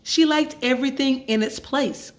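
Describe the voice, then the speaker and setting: A woman reading a children's story aloud as a storyteller, with measured, expressive phrasing and dramatic emphasis. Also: high-pitched. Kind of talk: expressive scripted story narration.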